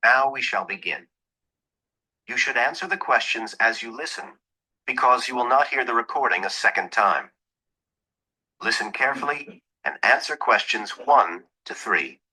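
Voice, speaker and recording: A man's voice: medium pitch, lively intonation, moderate pace, pushed and bright, slightly rough, poor audio. A man reading scripted listening test instructions in a formal, instructional way. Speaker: exam narrator.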